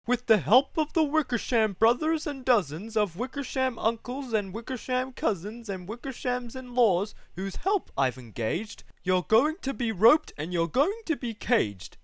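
A man's voice: very high-pitched, very animated, measured pace, hardly any pauses, no echo, voice pushed up, heavily acted. A man voicing a sour, haughty mother kangaroo in a storybook, snapping and scolding indignantly. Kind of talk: storybook character voice.

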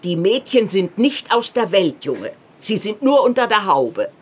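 An actress playing a kindly old farm grandmother in a radio drama, with a husky voice. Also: medium pitch, big pitch swings, measured pace. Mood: gently reproving.

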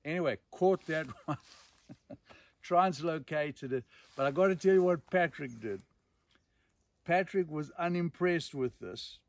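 Zimbabwean man storytelling with emphatic pauses. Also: fairly high pitch. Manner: emphatic, dramatic pauses, repeats words for effect. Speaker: storyteller and host. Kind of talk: animated outdoor storytelling. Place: outdoors in dense bush.

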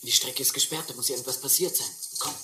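A man's voice: medium pitch, fairly even intonation, quick pace, roomy sound, background noise, natural voice. A young man playing a restless, carefree vagabond in a German radio drama.

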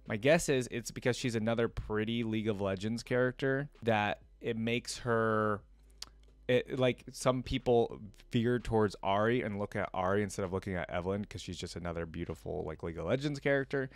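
A man's voice: on the low side, fairly quick, dry recording. A man in his twenties chatting casually to viewers as a reaction video host, rambling and tentative.

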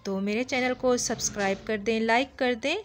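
A woman's voice: fairly high pitch, moderate pace, a little hiss or hum. A woman, narrating a home cooking recipe as a channel host, in a friendly, instructive way with small fillers. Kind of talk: step-by-step cooking voice-over. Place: home kitchen.

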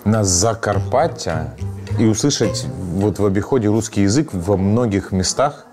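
Low-voiced male host, asking questions. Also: animated, normal speed. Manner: curious, friendly questioning. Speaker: travel show host. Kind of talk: casual interview questions. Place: cozy indoor room full of wall clocks, seated at a table. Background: background music.

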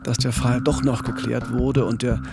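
A man's voice: medium pitch, flat delivery, normal speed, vocal fry. A man in his fifties with a standard German accent, co-hosting a true-crime podcast on a close studio microphone. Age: 50s. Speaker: podcast co-host. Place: small indoor recording studio. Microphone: close studio microphone on boom arm. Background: music.

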